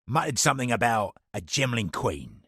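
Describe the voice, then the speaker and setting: An actor playing a roguish, wry smuggler in a fantasy game, with a gravelly, raspy voice and sardonic speech. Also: male voice, mid-range voice, very animated, moderate pace, pushed and bright, no room sound, studio quality, exaggerated voice. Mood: sly, sardonic. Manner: colloquial working-class speech, sly and sardonic. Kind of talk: in-game character dialogue.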